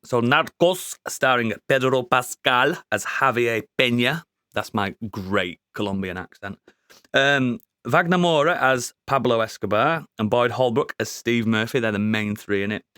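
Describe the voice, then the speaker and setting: A man co-hosting a podcast, chatting casually about a TV show, sweary and excitable, laughing often. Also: mid-range voice, measured pace, clear, resonant tone, no echo, studio quality. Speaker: podcast co-host. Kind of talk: casual review chat.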